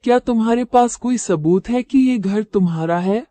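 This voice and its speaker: A woman voicing a wise, grave elderly male owl who judges disputes in a cartoon fable, solemn and deliberate. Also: fairly high pitch, dark tone, dry recording, pitched below the performer's own voice, natural voice. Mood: questioning. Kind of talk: cartoon moral-story character dialogue.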